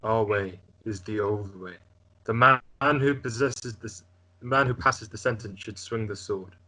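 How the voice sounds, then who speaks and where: A man's voice: lowish voice, unhurried, pushed and bright, poor audio. A man playing a stern, grave lord and father in an amateur script table read.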